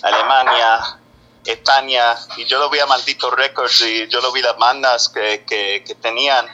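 A man whose first language is English, speaking halting Spanish in an interview and searching for words. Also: medium pitch, flat delivery, pushed and bright, lo-fi sound.

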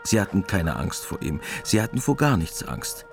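A man narrating a literary war story in a radio play, measured, detached and matter-of-fact. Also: sits low, big pitch swings, vocal fry, exaggerated voice. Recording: music under the narration.

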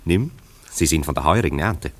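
An actor in a German radio play voicing a wry, teasing confidant with an amused, ironic, theatrical delivery. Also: male voice, extremely low pitch, lively intonation, fairly quick, slight background noise. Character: wry, teasing male confidant of a romantic friend.

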